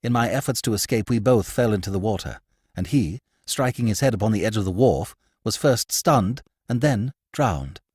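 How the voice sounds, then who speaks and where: A man's voice: lowish voice, expressive, normal speed, darkish tone, breathy edge, no echo. A man reading a story, voicing a shaken honest young man in earnest, old-fashioned formal speech. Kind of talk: audiobook character voice.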